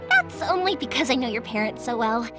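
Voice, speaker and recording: An actress playing a cheerful, lively young fireworks maker in a video game, talking in a playful, warm-hearted way. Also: female voice, high voice. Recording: game soundtrack music faint behind.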